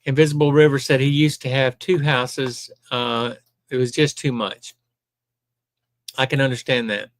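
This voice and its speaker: A man's voice: medium pitch, fairly even intonation, measured pace, no echo. Older southern guy hosting a live stream, chatty, lots of ums, dry close mic. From Alabama. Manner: chatty, reads viewer comments aloud with many ums. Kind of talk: casual live-stream chat.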